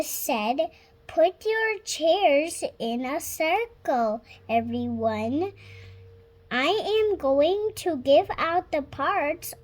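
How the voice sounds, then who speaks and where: A girl of about six reading a children's storybook aloud, halting word by word, stumbling and correcting herself. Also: sits high. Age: child, about 6 years old. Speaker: young reader practising reading aloud.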